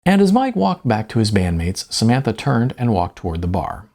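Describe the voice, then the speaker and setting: A man reading their own first-person story as scripted narration, with deliberate, emphatic phrasing and a gravelly, husky voice. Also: lowish voice, big pitch swings, a little mellow.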